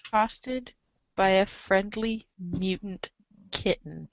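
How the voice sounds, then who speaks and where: A woman's voice: medium pitch, slow, muffled recording. A woman reading a webcomic script aloud as a reader's theater performer, with emphatic, playful exasperation. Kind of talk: animated dramatic reading of a webcomic, in second person.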